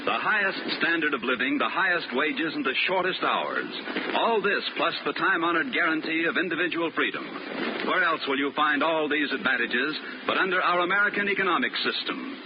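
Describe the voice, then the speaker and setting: A man reading a formal 1950s radio announcement in a declamatory tone, his voice slightly high. The sound is dull and hissy, like an old broadcast. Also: expressive, fairly quick.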